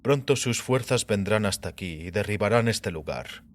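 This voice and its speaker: Man playing a low, scornful, self-assured stranger. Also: expressive, a little hiss or hum, lightly acted. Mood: mocking. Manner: measured, formal, archaic address, mocking. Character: mysterious male guest, self-assured, scornful skeptic of faith. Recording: background music under voice.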